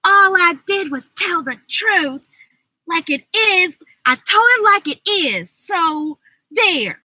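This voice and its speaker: A woman's voice: very high voice, animated, slow, bright, forceful tone, dry recording, pitched above the performer's own voice, full character voice, poor audio. A woman voicing a blunt, self-satisfied, eager schoolgirl in a storybook, with emphatic, childlike, folksy speech. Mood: smug.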